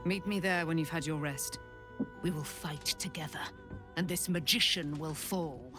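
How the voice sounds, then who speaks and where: Proud warrior dame, lowish voice. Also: female voice, a little slow, music in the background. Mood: resolute. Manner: lofty, formal, declamatory. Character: proud, righteous warrior dame, fearless and imperious. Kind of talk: fantasy video game acting.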